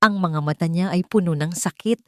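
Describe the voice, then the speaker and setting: A woman's voice: on the low side, moderate pace, darkish tone, no room sound. A woman narrator reading a scripted story in a dramatic style.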